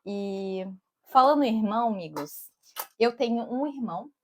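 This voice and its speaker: A woman's voice: fairly high pitch, lively intonation, moderate pace. Young Brazilian woman with a Brasília accent, a cook vlogging outdoors, loose and chatty delivery full of filler. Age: young adult. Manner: rambling and digressive, keeps saying "tipo" and "amigos". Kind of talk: casual chatty vlog. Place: outdoors in summer, with bees around.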